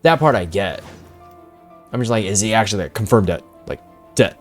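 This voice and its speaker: A man's voice: fairly low pitch, expressive, a little fast, faint music behind. A man doing casual live reaction commentary as a gaming streamer, informal and slangy.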